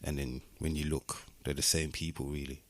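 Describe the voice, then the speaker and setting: A man with an exceptionally deep voice and a Birmingham accent speaks as a guest in a casual interview. His talk is reflective and slangy, captured in a dry, close sound. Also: flat delivery, normal speed, fairly bright tone, no room sound. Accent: Birmingham English. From Birmingham, England. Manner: reflective, philosophical, uses slang and tag questions like "you know what I mean".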